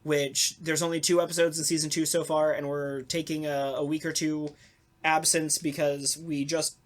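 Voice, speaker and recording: A podcast host talking casually in an animated, sarcastic way, with some swearing. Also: mid-range voice, normal speed. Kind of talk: casual talkback chat.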